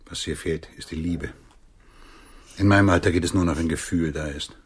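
A man's voice: low-pitched, moderate pace, breathy edge. A man acting in a radio drama as an aging, lecherous swindler, blunt, wry and world-weary. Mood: resigned. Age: older. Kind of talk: intimate radio drama dialogue.

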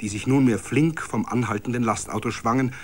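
A man reading a literary story as a narrator, in a vivid, dramatic, expressive way. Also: mid-range voice, brisk. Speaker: narrator, reader.

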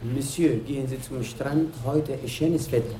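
A man's voice: medium pitch, fairly even intonation, unhurried, mellow, echoey room, a little hiss or hum, lightly acted, low-quality recording. A man playing a polite desk clerk.

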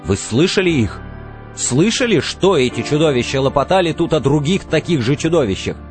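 A man's voice: a little high, very animated, moderate pace, faint music behind. A man voicing an angry rebel at breaking point in an audiobook, agitated, explosive and defiant. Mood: bitter outrage.

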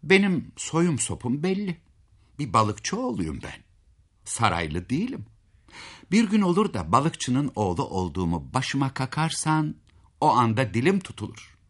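A man's voice: medium pitch, very animated, unhurried. A man voicing a humble but proud young fisherman's son, speaking earnestly and firmly in a fairy tale. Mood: earnest warning.

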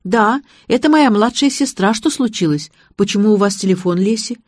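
A woman's voice: mid-range voice, animated, moderate pace, darkish tone, husky, dry recording, clean studio sound, pitched above the performer's own voice. A woman voicing an anxious, affectionate elder sister in an audiobook, speaking urgently and emphatically. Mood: alarmed. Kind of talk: audiobook character voice.

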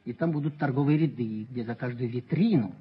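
An actor playing a shrewd, wheedling newly prosperous speculator in a radio play, with an insinuating conversational manner. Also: male voice, mid-range voice, a little fast, lightly acted. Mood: prodding. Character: shrewd, wheedling former rent collector turned prosperous speculator. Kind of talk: Soviet radio play acting. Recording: dull 1970s radio drama recording.

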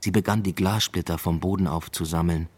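A man's voice: sits low, fairly even intonation, brisk, darkish tone, slightly rough. An actor playing a subdued, evasive husband who narrates his unravelling marriage in a restrained, literary radio play style.

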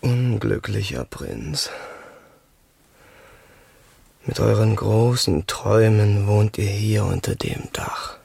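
An actor playing a proud, defiant young Prussian crown prince in a German radio drama, dreamy and declamatory. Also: male voice, on the low side, slow, gravelly, a little mellow, breathy edge, heavily acted. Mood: bitter, melancholy. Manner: theatrical, declamatory.

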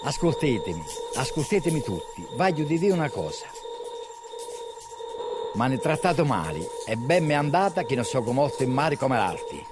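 A man's voice: mid-range voice, big pitch swings, moderate pace, lightly acted. A man playing a remorseful wandering father with a Sardinian accent, pleading and declaiming in dialect in an audio drama. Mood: declamatory. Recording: music behind the voice.

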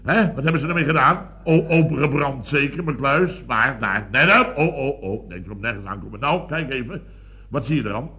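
On a dull old radio recording, an actor plays a blustering, self-important male boss in a comedy serial. His medium-pitched voice leaps around as he sputters, exclaims and pompously repeats himself. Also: expressive, a little fast, lightly acted. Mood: flustered.

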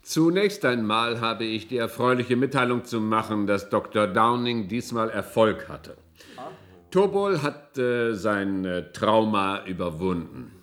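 A man playing a controlling, shrewd institute director in a science fiction radio drama, speaking formally and deliberately. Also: fairly low pitch, lively intonation, a bit bright. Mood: businesslike.

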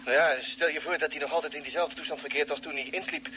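An actor playing an eager, anxious male spaceship crewman in a Dutch radio drama, excitable and informal. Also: on the high side, fast talker, close to an everyday voice. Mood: doubtful, wry. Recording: noisy old radio drama recording.

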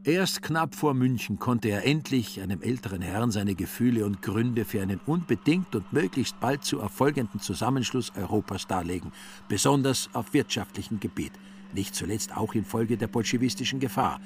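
A man's voice: on the low side, expressive, moderate pace, a little hiss or hum. A man narrating a literary radio play in a scripted, dryly ironic storytelling manner.

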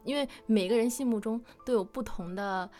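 A woman's voice: a little high, a little fast, mellow, faint music behind. A woman hosting a playful live-stream question-and-answer session. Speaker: host of a live Q&A with voice actors.

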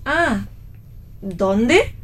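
An actress playing a guarded, wry young pregnant wife in a radio play, with an Argentine accent and a curt, dry manner. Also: female voice, fairly high pitch, sing-song, drawn-out words, breathy edge, full character voice. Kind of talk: radio theatre play reading.

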